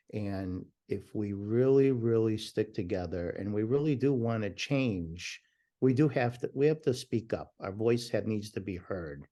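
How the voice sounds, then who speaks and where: A man with a loud voice, talking passionately as a guest on a remote call. His voice is low and warm, never bright. He stresses his points and sometimes starts his sentences over. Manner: passionate, emphatic, some restarts. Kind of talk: conversational interview.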